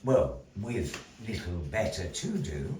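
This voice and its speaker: A man telling a dramatic adventure tale to a live audience, slow and theatrical, with playful asides. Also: low voice, very animated, a little mellow. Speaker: puppet show storyteller. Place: small gallery room with audience. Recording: roomy echo, distant mic, faint noise.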